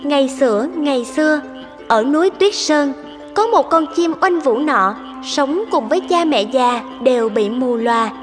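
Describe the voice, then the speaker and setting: A woman with a high-pitched voice narrates a folk tale in a scripted storybook style. Music plays clearly behind her words. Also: lively intonation, unhurried.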